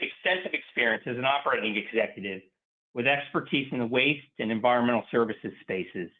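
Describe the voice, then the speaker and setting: A man delivers formal prepared remarks as a chief executive. His voice is mid-pitched and comes over a thin, phone-like conference line.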